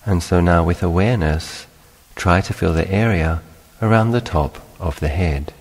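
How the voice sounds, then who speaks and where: A man leading a guided meditation as a teacher, speaking in a calm, unhurried, instructional way with long pauses. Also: low voice. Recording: long hall-like reverberation.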